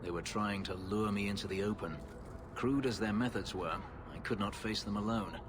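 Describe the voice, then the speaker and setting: An actor playing a proud, brooding elf who escaped slavery, speaking in a formal, measured, stern way in a fantasy video game. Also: male voice, fairly low pitch, background noise, natural voice. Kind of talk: video game cutscene acting. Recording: music under the voice.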